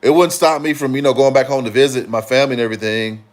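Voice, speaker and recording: A man from Chicago hosting a casual livestream, answering comments in a relaxed, rambling way with frequent fillers and restarts. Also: medium pitch, quick pace. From Chicago, Illinois. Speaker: livestream host.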